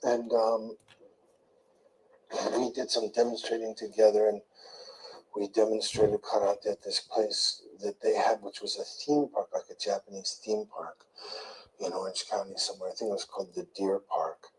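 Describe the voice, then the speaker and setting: An older man slowly recalling his past in a soft, murmuring, rambling way, often trailing off. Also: fairly low pitch, level tone, poor audio. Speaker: interviewee in played clip. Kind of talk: slow rambling recollection.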